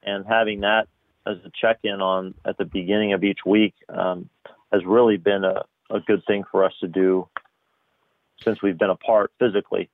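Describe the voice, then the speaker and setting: A man's voice: fairly low pitch, flat delivery, normal speed, no echo. A man, a radio station manager, speaking earnestly on a phone line during a casual radio chat. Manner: earnest, praising. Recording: tinny dry phone line.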